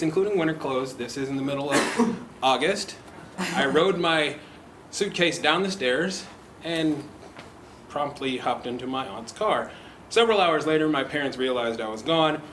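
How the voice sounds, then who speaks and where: Man telling a story in an echoey room. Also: medium pitch. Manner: lively, laughs often, teasing. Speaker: club speaker and table topics master. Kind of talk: personal storytelling speech at a club meeting. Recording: echoey room with background noise.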